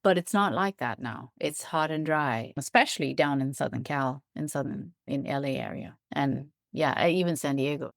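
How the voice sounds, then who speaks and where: A woman's voice: extremely low pitch, animated, normal speed, vocal fry, few pauses, no room sound, clean studio sound. A woman giving thoughtful, explanatory answers as an expert guest in an interview. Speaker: interview guest, biologist and writer.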